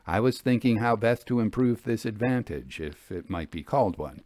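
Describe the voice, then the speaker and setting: A man narrating a classic literary ghost story in an expressive, measured, theatrical manner. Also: lowish voice, dry recording.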